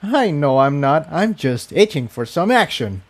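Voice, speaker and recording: A man voicing an excitable, teasing young female unicorn adventurer in a fantasy story reading, eager and playful. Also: a little high, a little slow.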